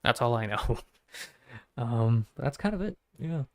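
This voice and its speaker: Male streamer, monotone, rambling casual chat, medium pitch, dry close mic. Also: a little slow, no room sound. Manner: monotone, rambling, self-correcting.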